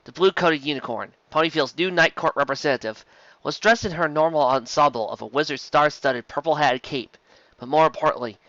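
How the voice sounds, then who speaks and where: Fan fiction narrator, animated, bright tone, occasional stumbles, very dry sound, slightly muffled lo-fi recording. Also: medium pitch, fairly quick, no room sound. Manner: animated, stumbles over words. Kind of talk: expressive scripted story narration.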